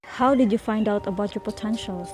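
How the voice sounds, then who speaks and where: A woman playing a brisk female investigator who questions students in short, curt prompts. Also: mid-range voice, music in the background, natural voice. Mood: businesslike.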